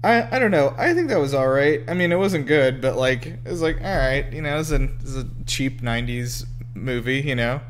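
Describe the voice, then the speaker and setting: A man co-hosting a casual movie-reaction chat, excitable and joking, with casual swearing. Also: medium pitch, fairly quick, faint music behind. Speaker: podcast co-host.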